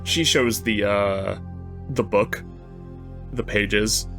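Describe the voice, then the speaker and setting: A man improvising narration for his tabletop character in a halting, tentative manner. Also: on the low side, vocal fry, fairly bright tone, soft background music. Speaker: guest player.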